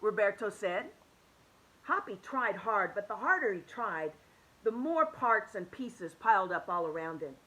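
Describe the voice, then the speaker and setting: Animated woman reading a picture book. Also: medium pitch, unhurried, rough recording. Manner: expressive and animated, with soft hushed drops. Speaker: children's story reader.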